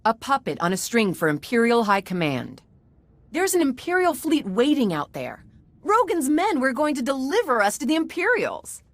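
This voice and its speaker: A woman's voice: sits high, wildly swooping pitch, moderate pace, dry recording. An actress playing a poised, self-assured female galactic senator in a video game, formal and confident. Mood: disdainful. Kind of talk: video game cutscene acting.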